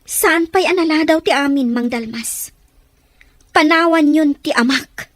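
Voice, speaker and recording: Actress as a high-voiced pleading young daughter. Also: female voice, unhurried, no room sound. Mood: pleading, reproachful. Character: earnest defiant daughter pleading against her father's raids. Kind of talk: amateur drama dialogue in Ilocano. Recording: outdoor recording with faint noise, birdsong.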